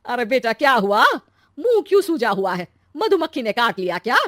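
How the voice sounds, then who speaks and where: A woman's voice: on the high side, very animated, fairly quick, no echo, studio quality. Woman playing a nosy, emphatic older mother. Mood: teasing. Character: teasing, nosy older mother, brisk and commanding.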